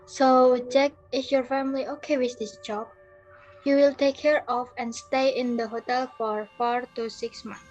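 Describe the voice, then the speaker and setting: Woman as a high-voiced formal hotel manager. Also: steady tone, measured pace, darkish tone. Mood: serious, formal. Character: hotel manager, courteous man, formal and proud. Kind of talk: readers theater acting. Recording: muffled audio with background music.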